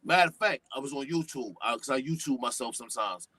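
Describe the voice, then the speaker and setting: A man, a guest hip-hop artist chatting on a podcast, animated, full of street slang and swearing, and talking at length. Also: fast, no room sound. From New York. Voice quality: deep voice. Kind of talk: casual podcast chat.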